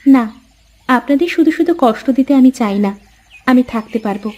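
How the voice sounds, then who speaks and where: A meek orphaned forest girl from a fairy-tale cartoon, voiced by a woman. She sounds sorrowful and polite, with a high voice. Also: expressive, a little fast, warm tone.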